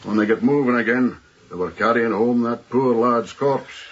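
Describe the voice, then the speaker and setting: An actor playing a gloomy, superstitious ship's officer in a radio drama, speaking with solemn, deliberate earnestness. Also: male voice, medium pitch, lo-fi sound, slight background noise, lightly acted. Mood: grave, insistent.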